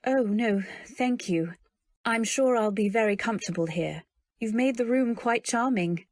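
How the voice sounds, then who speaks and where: A woman playing a timid, earnest young wife who tells her tale in an old-time radio drama, gentle and polite. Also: on the high side, unhurried, no room sound, studio quality, lightly acted. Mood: apologetic, earnest. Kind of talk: old-time radio drama, first-person narration and dialogue.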